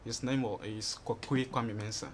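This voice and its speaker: A man's voice: mid-range voice, fairly even intonation, measured pace, background noise. A young man from Ghana in his twenties, answering questions in a TV studio interview and rambling with frequent "you know" and "you understand". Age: 20s. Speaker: interview guest. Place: TV studio set.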